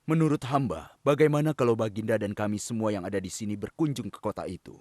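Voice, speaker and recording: Casting: male actor as loyal, humble court adviser for animation, lowish voice, very dry sound. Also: fast talker, darkish tone, dry recording, lightly acted. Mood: respectful, earnest. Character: deferential, earnest male royal adviser. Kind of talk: Indonesian children's cartoon dubbing.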